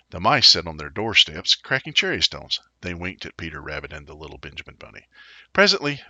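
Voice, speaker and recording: A man reading a children's story aloud in an animated, theatrical way. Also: deep voice, dry recording, lo-fi sound. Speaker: storybook reader. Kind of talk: expressive children's story narration.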